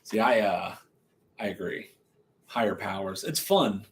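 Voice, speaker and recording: A man's voice: on the low side, expressive, unhurried. A man of forty co-hosting a casual chat, teasing and musing aloud about ideas. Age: 40s. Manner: joking, teasing, muses aloud. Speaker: podcast co-host.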